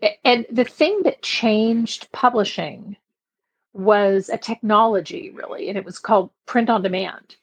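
A middle-aged woman from Belgium chatting casually as an interview guest, her voice slightly husky. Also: medium pitch, measured pace. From grew up in Belgium. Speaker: podcast guest, publishing expert.

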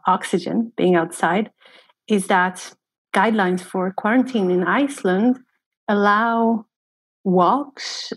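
Guest, female, from Poland, mid-pitched, thoughtful and unhurried. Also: creaky, rough recording. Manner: thoughtful, unhurried, with small grammar slips. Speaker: guest, glacier guide. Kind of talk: casual personal account.

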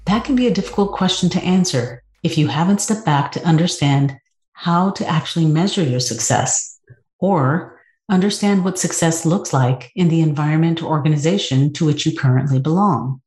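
A woman's voice: deep voice, moderate pace, a little mellow, soft background music. A woman hosting a podcast, reading a scripted introduction and then welcoming her guest with enthusiasm. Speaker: podcast host. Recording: roomy echo.

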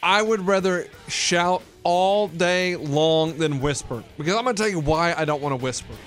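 A young man co-hosting a sports radio show in casual banter, emphatic and loud, acting out little quoted exchanges. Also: on the high side, moderate pace, faint music behind.

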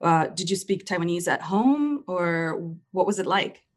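An upbeat woman hosting an interview, with a fairly low pitch for a woman. She asks quick, curious questions in a conversational way. The sound is dry and close. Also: expressive, no echo. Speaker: podcast host.

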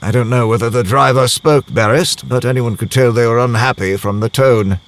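An actor playing a worldly diplomat and spy who recounts events in a measured, dryly wry first-person audio drama narration. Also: male voice, mid-range voice, natural voice. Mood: wry, composed.

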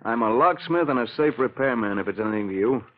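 A man plays an honest, hard-up locksmith in a 1940s radio play. His medium-low delivery is earnest and plain-spoken, full of hesitation, and it comes through a thin, tinny old broadcast recording. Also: expressive, quick pace, slight background noise, natural voice. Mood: frustrated, blunt.

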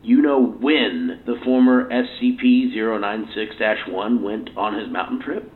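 A man's voice: fairly low pitch, unhurried, lightly acted. Man acting a grim scientist on tinny tape. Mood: grim, urgent. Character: grim, agitated scientist giving an incident interview. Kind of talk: audio drama acting. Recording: tinny interview tape with hiss.

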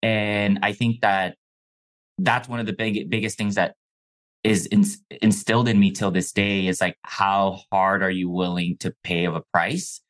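Young man, guest, from Mexico, Chinese native speaker, low voice, casual slangy storytelling. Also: level tone, a little slow, a bit bright. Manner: laid-back, says "bro" and "like" often. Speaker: podcast guest.

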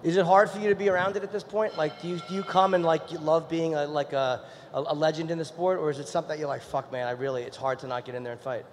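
A man with a slightly high voice asks questions in an earnest, halting way, full of fillers. A long reverb hangs on his voice. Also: little pitch movement. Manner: earnest, hesitant, filler-heavy. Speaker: interviewer. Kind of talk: interview questions and ad read.

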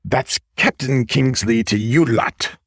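A man's voice: mid-range voice, fairly bright tone, slightly rough, no room sound. Man voicing a swaggering little pirate captain. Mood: mock menace. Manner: theatrical pirate talk, drawn-out words. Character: small red panda playing a swaggering pirate captain.

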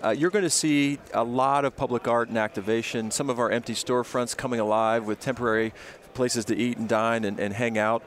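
A man's voice: medium pitch, steady tone, a little fast, few pauses, a little hiss or hum. A man from Indianapolis promoting his city's sports events in an outdoor interview, speaking with upbeat emphasis. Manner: upbeat, emphatic, lists names and places. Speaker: tourism and events official.

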